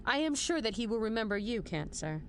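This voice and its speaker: Woman acting as a commanding, high-voiced female general. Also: very animated, a little fast, slight background noise. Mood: grave, deliberate. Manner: formal, authoritative briefing delivery. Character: stern, commanding female military general, measured and dryly wry. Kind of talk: scripted audio drama acting.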